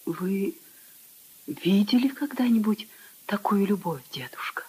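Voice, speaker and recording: A woman playing a gentle young countess, an attentive granddaughter speaking in a hushed, respectful way in a 1950s radio drama. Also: mid-range voice, animated, measured pace. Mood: tender, curious. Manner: hushed, breathy, respectful questioning. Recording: 1950s radio play recording.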